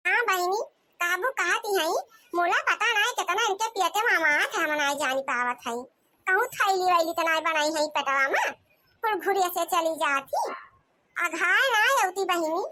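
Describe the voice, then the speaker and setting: A woman's voice: very high-pitched, moderate pace, bright, forceful tone, no room sound. A woman voicing a sly young village daughter-in-law in a comedy cartoon, complaining and mocking in a gossipy way with an Awadhi accent. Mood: mocking, aggrieved. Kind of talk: comic cartoon monologue.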